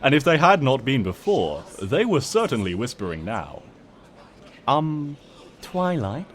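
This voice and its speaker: A man's voice: medium pitch, huge pitch swings, moderate pace, a little hiss or hum. A man narrating a comic story in a theatrical, smug and wry manner.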